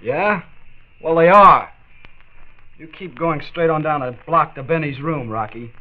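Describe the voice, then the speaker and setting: A man's voice: fairly high pitch, measured pace, narrow, tinny audio, lightly acted. An actor playing a troubled, guarded eighteen-year-old boy fighting addiction in a 1940s radio drama, terse and earnest on an old recording. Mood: defiant, insistent.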